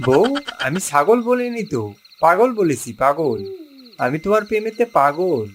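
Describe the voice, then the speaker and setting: A man voicing an excitable, hapless young animal groom in a comic cartoon, exclaiming melodramatically. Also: on the high side, moderate pace, very noisy. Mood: pleading. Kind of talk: exaggerated cartoon voice acting.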